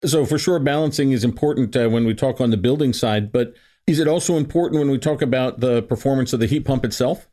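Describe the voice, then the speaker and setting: A man's voice: medium pitch, fast talker, mellow. A man hosting a podcast, asking the guest questions in a friendly, casual way. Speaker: podcast host.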